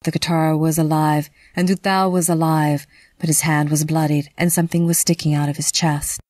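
Woman narrating a dark fantasy audio drama, slightly low, no room echo. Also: flat delivery, brisk.

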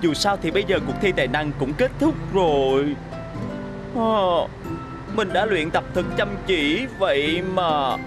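Eager little kid bus from a cartoon someone acting it, polite, unhurried, music playing behind. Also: medium pitch, close to an everyday voice. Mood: curious, pensive. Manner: earnest, expressive. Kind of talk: dubbed children's cartoon acting.

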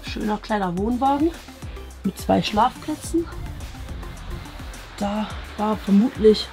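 A woman's voice: mid-range voice, lively intonation, takes it slowly, warm tone, faint music behind. A woman in her thirties with a German accent, vlogging as she walks through an abandoned campsite outdoors, musing aloud and often asking viewers to agree. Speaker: urban exploration vlogger. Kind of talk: casual walking commentary to viewers. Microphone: action camera worn on chest.

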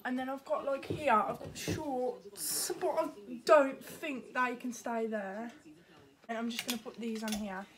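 A woman's voice: on the high side, measured pace, a crowd in the background. A teenage girl chatting casually in a home vlog from her bedroom, rambling with plenty of fillers. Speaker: vlogger. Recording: noisy home video.

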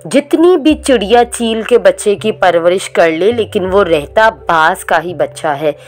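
A woman voicing a sharp-tongued, scolding female sparrow who accuses loudly. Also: medium pitch, expressive, measured pace, close to an everyday voice. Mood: angry.

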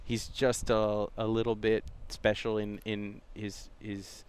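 A man in his thirties, a racing driver, chatting casually and telling animated stories with quick asides. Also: fairly low pitch, smooth. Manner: animated storyteller, quick impressions and self-deprecating asides. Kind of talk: casual chat. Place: outdoors in sunny yard.

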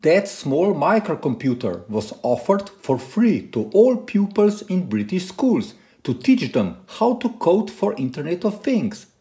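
Animated man vlogger, lively pitch swings. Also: unhurried, hardly any pauses. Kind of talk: presenting to camera and narrating a car tech video.

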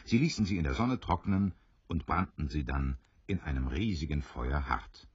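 A man narrating an audio drama like a storybook, measured and expressive in his scripted German storytelling. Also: extremely low pitch, breathy edge, dry recording. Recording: dry, slightly dull studio recording.

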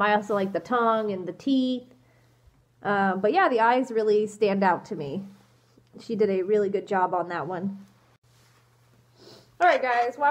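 A young woman with a congested cold, answering viewer questions in a casual vlog, hesitant and apologetic with many ums, filmed on a phone held at arm's length in a small room. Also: on the high side. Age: young adult. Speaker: fursuit vlogger answering questions. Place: small indoor room, in costume. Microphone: phone camera at arm's length.

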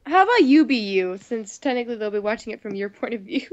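High-voiced woman reading aloud, muffled mic. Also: very animated, fast talker. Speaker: gaming video host. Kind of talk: reading visual novel narration aloud with casual chat. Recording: muffled, noisy home microphone.

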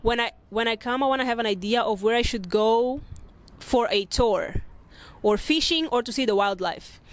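A woman's voice: a little high, normal speed. A young woman from Mozambique chatting casually as a vlog host, answering viewers' questions. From Maputo, Mozambique. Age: young adult. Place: open city rooftop, outdoors. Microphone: handheld earphone mic near mouth.

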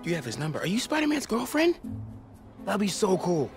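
A man's voice: sits high, wildly swooping pitch, a little fast, over loud music, exaggerated voice. A man voicing an eager, awkward young American teenage boy helping a hero in a video game. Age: young adult. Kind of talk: video game cutscene acting.

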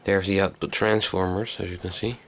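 A man giving a casual, unscripted hands-on demonstration in a low, creaky voice, recorded on a handheld camera microphone. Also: fairly even intonation, brisk, a bit bright. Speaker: hobbyist video maker. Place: small indoor workroom.

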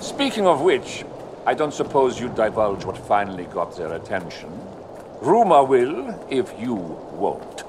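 A man's voice: on the low side, huge pitch swings, measured pace, noisy background. An actor playing a pompous, fussy gossiping nobleman in a video game, with a haughty, ingratiating theatrical delivery. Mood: haughty, prying. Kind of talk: dramatic video game dialogue.

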